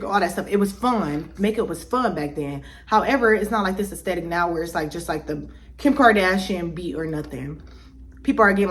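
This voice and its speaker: A young woman talks straight to her viewers in a casual vlog. Her voice sits at a middle pitch for a woman, and her speech is loose and chatty, full of fillers and restarts as she works through her thoughts. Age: 20s. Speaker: vlogger.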